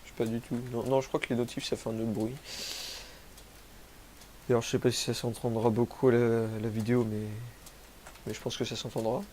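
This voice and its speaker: A man's voice: medium pitch, little pitch movement, a little fast, long pauses, mellow, a little hiss or hum. A young man from France with a cold, rambling through gameplay commentary, self-mocking and using crude slang. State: has a cold, sniffly. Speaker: gaming youtuber. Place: home, rural.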